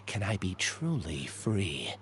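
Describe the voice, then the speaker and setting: An actor playing a resigned, apologetic man in an anime game, speaking in a measured, solemn, confessional way. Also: sits low, huge pitch swings, fairly bright tone, slight background noise, heavily acted. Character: resigned, apologetic young man renouncing his own identity. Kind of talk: anime video game voice acting.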